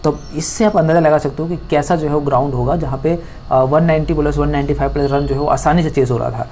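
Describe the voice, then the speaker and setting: A man talking through a cricket pitch report over a screen recording, rambling with many fillers and restarts. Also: steady tone, quick pace, dark tone, slight background noise. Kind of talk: screen-recorded commentary talk.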